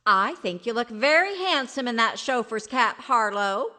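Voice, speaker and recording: A woman playing a kindly older wife in an old-time radio comedy, gracious and gently correcting. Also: a little high, animated, normal speed. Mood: gracious, fond.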